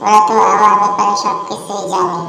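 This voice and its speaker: A woman's voice: medium pitch, flat delivery, brisk, vocal fry, crowd noise, lightly acted. Woman as a pleading little bird, echoey. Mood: distressed. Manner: plaintive, pleading. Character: helpless, pleading little female tailorbird robbed of her nest. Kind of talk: Bengali children's cartoon dialogue. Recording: roomy echo, music bed.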